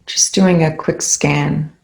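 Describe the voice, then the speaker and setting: A meditation instructor leading a guided session in a soothing, gentle, unhurried way with long pauses. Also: medium pitch, fairly even intonation, gravelly, roomy sound, rough recording.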